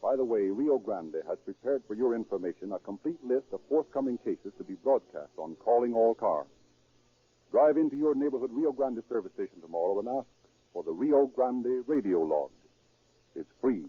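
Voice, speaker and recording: A man's voice: mid-range voice, animated, moderate pace, breathy edge. A man working as a radio announcer, reading scripted narration and sponsor copy in a formal, declamatory 1930s style. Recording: muffled, band-limited 1930s radio broadcast.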